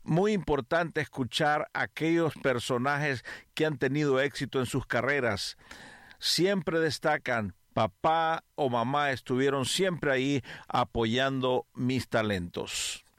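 Calm, preacherly middle-aged man host. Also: mid-range voice, no room sound, studio quality. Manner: measured, instructive, preacherly. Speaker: radio host, pastor and family counselor. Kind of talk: radio interview and family advice talk.

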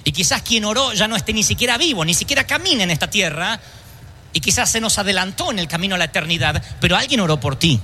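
A man delivers a sermon to a church congregation, pressing his points and throwing questions at the listeners. His voice sits high for a man and rings very bright. Faint noise runs under the recording. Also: animated, quick pace. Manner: emphatic preaching with rhetorical questions to the congregation. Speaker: preacher. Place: church service.

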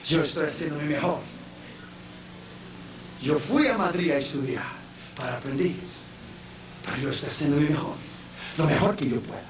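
A man preaching a sermon in a language that is not his first, slipping in English phrases and rising into shouted, sung-out peaks. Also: on the high side, moderate pace, long pauses. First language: not Spanish. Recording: echoey, distant, dull sound with faint noise.

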